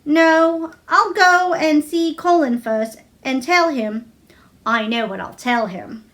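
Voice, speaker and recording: Woman as a high-voiced, determined young girl. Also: takes it slowly, fairly bright tone, heavily acted. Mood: decided.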